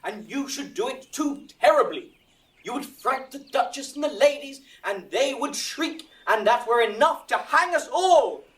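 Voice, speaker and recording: A man's voice: very high voice, expressive, unhurried, a bit bright, recorded from a distance, a little hiss or hum, full character voice. A man playing the earnest organiser of an amateur acting troupe, instructing and coaxing precisely in a Shakespearean audio drama. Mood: cautioning.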